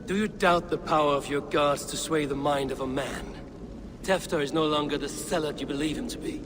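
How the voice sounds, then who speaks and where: An actor playing a stern, vengeful Viking warrior in a video game, blunt, commanding and intense. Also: male voice, on the high side, a little fast, noisy background, natural voice. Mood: reasoning. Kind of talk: dramatic video game acting. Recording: long reverb, music behind.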